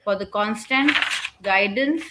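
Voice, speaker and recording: A woman giving an earnest, measured vote of thanks at the close of an online webinar. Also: medium pitch, heavy background noise. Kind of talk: read formal thank-you speech. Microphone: webinar video call.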